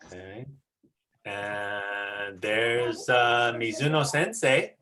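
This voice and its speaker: A woman with a very low voice leads an online class as its teacher. She speaks slowly and casually, organizing the group and echoing people's words back with encouragement. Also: steady tone, a bit bright, slight background noise. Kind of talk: casual chat organizing a video call. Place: video call.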